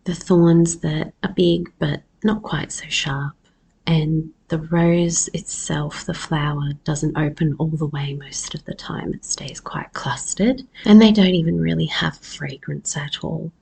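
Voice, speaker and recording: A woman podcast host with a husky, hoarse voice, talking personally and reflectively in an unhurried solo episode. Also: low-pitched, steady tone, darkish tone, low-quality recording. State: hoarse after losing voice. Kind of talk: personal solo podcast talk about herbs.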